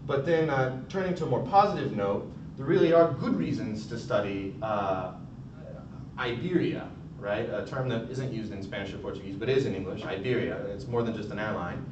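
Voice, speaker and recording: A young American man giving a recorded academic conference talk in a casual, chatty way, full of fillers and restarts. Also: normal speed. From United States. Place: college room. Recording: distant, echoey, dull, noisy rumble.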